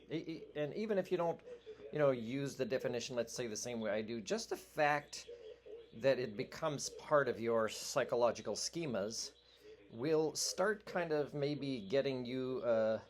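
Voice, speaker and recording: A man whose first language is French, talking in a rambling, earnest vlog monologue full of fillers and restarts. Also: fairly low pitch. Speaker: vlogger. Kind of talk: rambling monologue addressed to a radio host.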